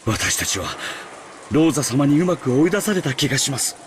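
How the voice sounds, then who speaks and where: A man's voice: mid-range voice, very animated, rapid-fire, a little hiss or hum, natural voice. An actor playing an earnest, anxious young male servant in a visual novel, polite and urgent. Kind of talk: Japanese visual novel voice acting.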